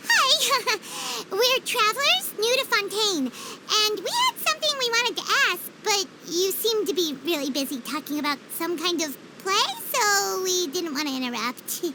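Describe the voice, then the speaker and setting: Tiny fairy companion, excitable, chirpy, very high squeaky voice, bouncy, game score behind. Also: female voice, unhurried, noisy background, full character voice. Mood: curious. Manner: chirpy, refers to herself in third person. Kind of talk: video game voice acting. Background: game score music.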